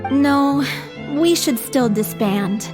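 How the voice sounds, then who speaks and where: A frail young performer in a video game, earnest and modest with a stubborn streak. The voice is high and trails into long reverb. Faint music sits behind it. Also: female voice, animated, slow pace. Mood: firm. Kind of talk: scripted video game voice acting.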